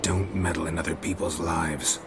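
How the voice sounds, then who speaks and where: A man's voice: sits low, moderate pace, very noisy. A man playing a stoic, world-weary monster hunter in a video game cutscene, speaking in terse, dry lines. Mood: guarded. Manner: terse, deadpan.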